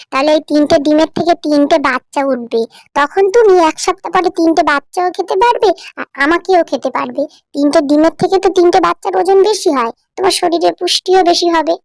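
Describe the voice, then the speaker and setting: A small, clever mother sparrow from a cartoon played by a woman. Her voice is very high, almost like a child's. She pleads and persuades in a coaxing way, with no room sound around her. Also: brisk, creaky, dry recording. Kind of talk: cartoon voice acting.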